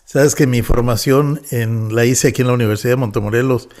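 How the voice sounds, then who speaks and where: A man in his fifties with a Mexican Spanish accent speaks as a pastor on a podcast. He talks in a calm, measured way and pauses often on "eh" between thoughts. His voice sits at an ordinary middle pitch. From northwest Mexico. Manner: measured, with frequent "eh" fillers. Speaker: pastor and guest. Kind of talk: relaxed podcast interview.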